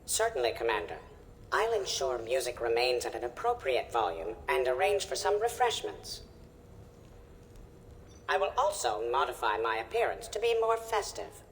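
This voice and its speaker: Obliging game AI assistant, measured formal diction, lively intonation, faint ambience. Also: male voice, mid-range voice, slight background noise. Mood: courteous. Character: polite, obliging virtual butler drone of calm temper. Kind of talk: scripted game dialogue.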